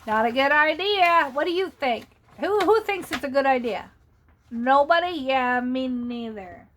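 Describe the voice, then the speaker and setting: A woman's voice: high-pitched, animated, unhurried, a bit bright. A woman reading a picture book aloud to young children, playful and asking her listeners questions.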